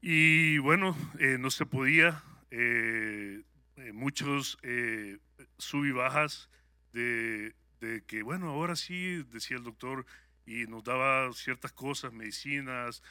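A man with a Salvadoran Spanish accent speaks to a congregation as a guest speaker. His voice is very bright and sharp, and it sounds close and dry. He talks in an upbeat, conversational way and often pauses on hesitant fillers. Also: medium pitch, smooth, dry recording. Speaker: guest speaker at a church service. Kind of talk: personal testimony to a congregation.

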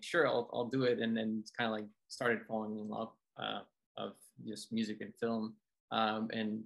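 A man's voice: mid-range voice, a bit bright, low-quality recording. A man from Colombia, a Spanish speaker, telling his story casually as an interview guest. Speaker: interview guest, film composer.

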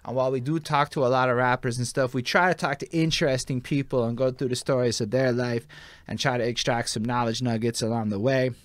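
A man's voice: mid-range voice, steady tone, fast, no room sound. A young man hosting a podcast interview, talking casually with a slangy, storytelling manner. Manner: laid-back, slangy, storytelling with lots of "like". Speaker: podcast host.

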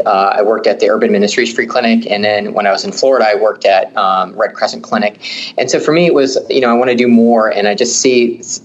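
A middle-aged family physician from Kenya answering interview questions in a measured, explanatory way. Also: male voice, fairly low pitch, muffled recording.

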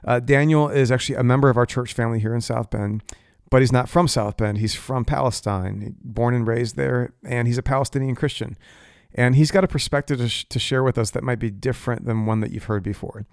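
A man's voice: medium pitch, brisk, clean tone, no echo, clean studio sound. A man pastor speaking earnestly and measuredly to his congregation, then interviewing a guest. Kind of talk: church talk and interview.

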